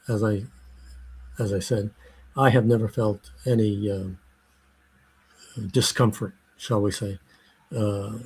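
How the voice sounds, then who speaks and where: An older American man giving an oral history interview, speaking slowly and haltingly with many fillers and restarts. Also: on the low side. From United States.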